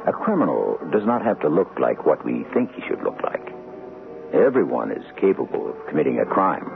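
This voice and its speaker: An actor playing a solemn, philosophical radio mystery host, narrating with gravelly, deliberate, ominous delivery. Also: male voice, very deep voice, sing-song, exaggerated voice. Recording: tinny old radio broadcast. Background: music.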